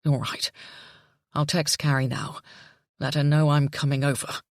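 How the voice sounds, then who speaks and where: A woman reading a panicked father, giving him an urgent, shaky, lowered voice. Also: lively intonation, normal speed, slightly rough, no echo, studio quality. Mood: shaken. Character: frantic grown man, a panicked father.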